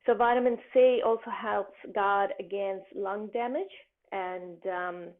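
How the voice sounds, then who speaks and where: A woman talks through health tips in a chatty, rambling way, full of fillers and restarts. Her voice is a little croaky from a long day of talking. The sound is thin and phone-like. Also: mid-range voice, fairly even intonation, unhurried. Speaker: wellness presenter. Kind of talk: casual health talk.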